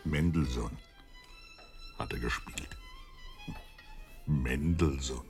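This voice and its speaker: An actor playing a sceptical, slightly exasperated Victorian doctor in a German radio play, husky and deliberate in his dry delivery. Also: a bass voice, wildly swooping pitch, darkish tone, soft background music, full character voice. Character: Holmes's loyal doctor friend, Victorian, sceptical, a little exasperated.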